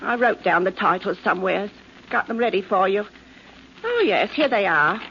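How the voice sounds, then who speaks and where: An actress playing a teasing, nagging London housewife with chatty, colloquial talk, in 1940s radio drama style. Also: female voice, mid-range voice, sing-song, moderate pace, vocal fry, pushed and bright, heavily acted. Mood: playful. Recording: dull, noisy 1940s radio recording.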